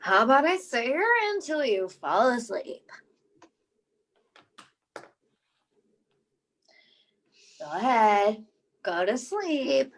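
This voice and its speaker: A woman voicing a gentle, anxious, awkward monster in a scripted podcast, with a halting, giggly delivery. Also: a little high, big pitch swings, heavily acted. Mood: awkward coaxing. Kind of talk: scripted podcast character reading.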